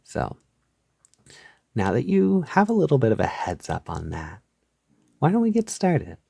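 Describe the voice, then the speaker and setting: A man leading a soft-spoken guided hypnosis, soothing and reassuring, gently repeating his phrases on a close mic. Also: medium pitch, huge pitch swings, a little slow, gravelly, breathy edge, no room sound. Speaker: hypnosis audio creator guiding the listener.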